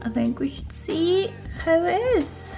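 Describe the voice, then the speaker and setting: A woman's voice: very high-pitched, expressive, a little slow, vocal fry, mellow, soft background music. A woman from Estonia talking casually and playfully to herself and her viewers, like a home vlogger. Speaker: vlogger, nail technician. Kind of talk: casual chatty vlog voice-over while tidying.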